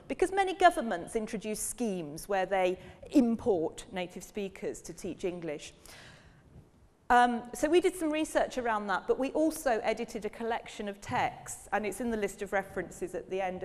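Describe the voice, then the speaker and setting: A woman's voice: medium pitch, a little mellow. Woman lecturing in an echoing hall. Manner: engaged lecturing, asks audience questions. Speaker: university professor giving a public lecture. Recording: long reverb of a lecture hall.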